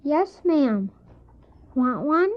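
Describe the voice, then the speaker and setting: A very high, young voice playing a polite, honest, lonesome orphan newsboy in an audio drama. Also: big pitch swings, very slow, heavily acted. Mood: polite, eager. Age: child character. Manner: earnest, plain-spoken, polite. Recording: dull, noisy old cassette tape.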